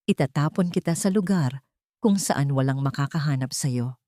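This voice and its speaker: A woman's voice: deep voice, moderate pace, warm tone, no room sound, studio quality, close to an everyday voice. A woman voicing a rich old matriarch in an audio drama, cold, commanding and threatening. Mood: cold menace.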